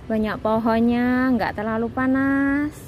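A friendly Javanese woman in her thirties, chatting to her viewers as she walks. She talks into a phone held at arm's length outdoors, with clear traffic and street noise behind her. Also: on the high side, steady tone, a little slow. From Indonesia. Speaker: vlogger, migrant domestic worker. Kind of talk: casual vlog chat to viewers. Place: outdoors by a city street and park.

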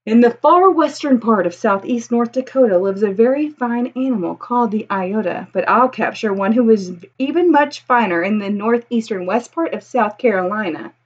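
A woman's voice: on the high side, moderate pace, few pauses, slightly rough, highs cut off. A woman in her thirties reading a children's picture book aloud expressively, with a singsong, rhythmic delivery. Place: small indoor room with bookshelves.

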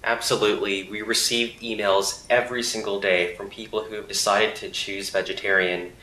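A man's voice: fairly low pitch, little pitch movement, moderate pace, bright, forceful tone, roomy sound, a little hiss or hum, rough recording. A man in his thirties, an animal advocacy campaign coordinator, giving earnest, assured interview answers into a handheld mic in an office. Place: office room indoors.

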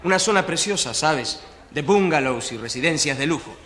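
High-voiced, well-informed newspaperman. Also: animated, normal speed, fairly bright tone, a little hiss or hum. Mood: informative. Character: well-informed, explanatory male newspaper journalist. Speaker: newspaper journalist. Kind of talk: radio drama acting, conversational. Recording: reverberant hall sound.

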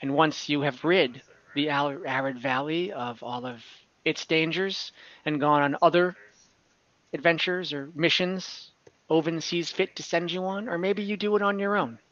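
A man at the game table acts out a scheming, ominous adventurer gathering warriors. The voice is a slightly high, bright male one, earnest and deliberate. It comes through dull online-call audio. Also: dry recording. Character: weary scheming adventurer recruiting warriors for a divine war. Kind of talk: in-character tabletop roleplay. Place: room at home, on a group video call.

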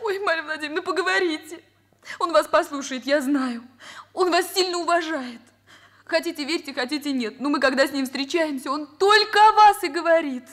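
A woman's voice: high-pitched, fairly quick, a bit bright. An actress playing a naive, emotional, lovesick young secretary in a Soviet radio drama, with a girlish manner. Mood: pleading. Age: young adult. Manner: girlish, simple-hearted.

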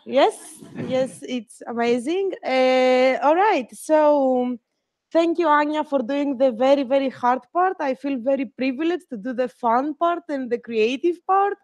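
A young woman from Greece gives a web development lecture over a video call. Her voice sits high, and the sound is close and dry with no room ring. She talks in a friendly way, pausing on fillers. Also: a little mellow, no echo. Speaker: guest lecturer. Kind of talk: live online lecture.

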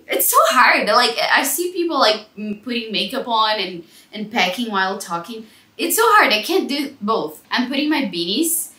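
A woman's voice: on the high side, bright, forceful tone, echoey room. A woman in her twenties with a Brazilian accent, chatting casually to camera as a travel vlogger. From Brazil, São Paulo. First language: Portuguese. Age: 20s. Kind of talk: casual chat to camera, answering questions. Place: small living room indoors. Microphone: clip-on lapel mic.

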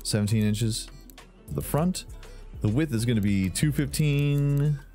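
A man's voice: mid-range voice, measured pace, warm tone. A man hosting a gaming channel, giving playful commentary while fixing up a hot rod, breaking into silly sound effects and bits of song. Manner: goofy, sings and makes silly sound effects. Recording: faint game music behind.

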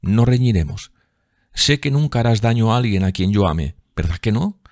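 Hombre haciendo de joven enamorada, aguda y soñadora. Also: male voice, on the low side, moderate pace, a little mellow, no room sound, studio quality, pitched above the performer's own voice, lightly acted. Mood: scolding, affectionate. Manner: musical, exclamatory, gushing. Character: giddy, lovestruck young woman, a dreamy actress.

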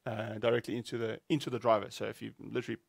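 Middle-aged male co-host, creaky voice, dry studio sound, informal and slightly hesitant product explainer. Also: fairly low pitch, little pitch movement, rapid-fire, dry recording. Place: small indoor studio set at a desk.

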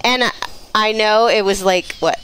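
A woman's voice: medium pitch, normal speed, a bit bright, a little hiss or hum, rough recording. A woman co-hosting a podcast, chatting effusively and enthusiastically. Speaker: podcast co-host; performer. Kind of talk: casual chat.

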